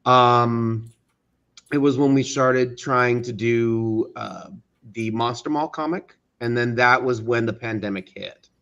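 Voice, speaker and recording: A man's voice: medium pitch, slow pace, a bit bright. A man with a mid-range voice comes through a little muffled. He speaks as an interview guest, recounting things casually and stopping often to find the next word. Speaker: interview guest, studio co-founder.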